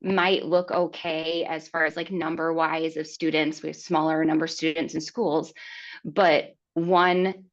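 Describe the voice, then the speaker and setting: A woman's voice: low-pitched, level tone, measured pace. A woman, a rural school principal, testifying on a web panel in an earnest, explanatory way, with "you know" fillers. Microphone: video call. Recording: dull lo-fi video call audio.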